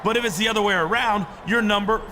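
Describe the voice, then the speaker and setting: Man acting a fawning high-pitched office lackey. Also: quick pace, a crowd in the background, noisy background, close to an everyday voice. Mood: smug. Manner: obsequious, explanatory. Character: fawning, smug wrestling management lackey. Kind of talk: scripted game dialogue.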